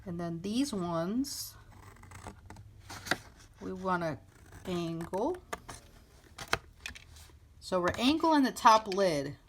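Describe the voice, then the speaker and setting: A woman's voice: lowish voice, expressive, extremely slow, pauses often. A woman hosting a casual hands-on craft tutorial, thinking aloud in an informal way as she works at a table indoors. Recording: clearly noisy home recording with clicks.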